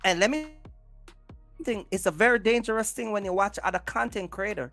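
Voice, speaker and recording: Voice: mid-range voice, brisk, fairly bright tone, faint music behind. A livestream host talks casually in an emphatic, rambling way, often repeating phrases and restarting. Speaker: livestream host, content creator.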